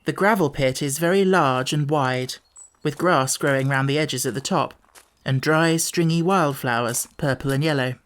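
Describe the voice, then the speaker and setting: A man's voice: fairly high pitch, moderate pace, fairly bright tone. Man narrating a children's storybook. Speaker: audiobook narrator.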